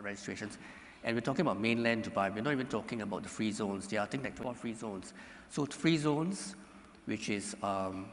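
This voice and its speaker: A man from Singapore, speaking as a panelist in a measured, halting way with many fillers. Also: fairly low pitch, a little hiss or hum. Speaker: panelist, government economy official. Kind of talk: conference panel answer. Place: conference hall panel stage. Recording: reverberant conference hall.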